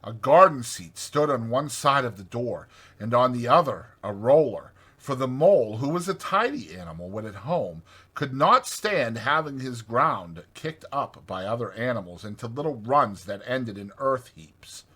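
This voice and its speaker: A man reads a classic tale as an audiobook narrator. His mid-range voice has a bright, forceful tone suited to scripted storytelling. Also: medium pitch, expressive, normal speed, slightly rough.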